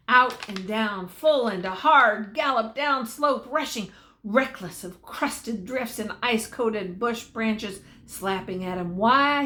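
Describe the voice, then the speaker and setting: A woman's voice: a little high, fairly bright tone. An older woman narrating a story aloud in a folksy, dramatic way, drawing out key words.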